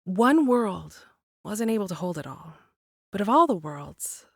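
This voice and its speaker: An actress playing an enigmatic, gently teasing woman from a world of monsters, telling a hushed bedtime tale in a measured, lyrical way for an audio drama. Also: mid-range voice, big pitch swings, no echo, studio quality. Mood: hushed, mysterious.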